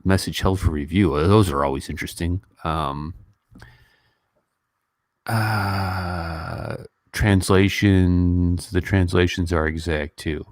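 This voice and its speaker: Male livestream host, low-pitched and informal, rambling conversational delivery, very dry close sound. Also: slow, dry recording. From Western country. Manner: informal, animated when mimicking others, rambling. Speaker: YouTube host. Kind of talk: casual livestream chat and commentary.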